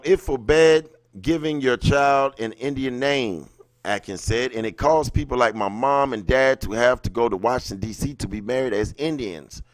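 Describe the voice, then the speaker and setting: A man's voice: medium pitch, dry recording. A man with a mid-range voice hosts a livestream in a dry, close-sounding space. He reads written text aloud and breaks in with blunt, casual commentary in everyday colloquial speech. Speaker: livestream host.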